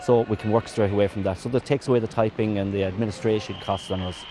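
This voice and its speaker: Male coach, rapid delivery, outdoor interview with loud background noise. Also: lowish voice, steady tone. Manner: brisk, with restarts and fillers. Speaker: soccer coach. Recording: noisy outdoor location sound.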